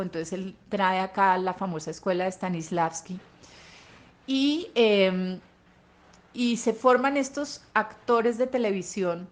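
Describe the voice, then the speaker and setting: A woman with a Colombian accent explains in a mid-pitched voice, as an expert being interviewed. She is didactic and unscripted, pausing to find words and checking that she is followed.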